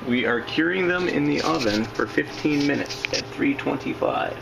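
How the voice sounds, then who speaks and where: A man's voice: on the high side, lively intonation, normal speed, gravelly, a loud crowd. A man filming his kids at home and patiently explaining how they build fishing gear. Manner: patient, practical, explaining steps. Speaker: father and cameraman. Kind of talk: casual instruction. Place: home workshop, indoors. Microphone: camera mic. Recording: noisy home video.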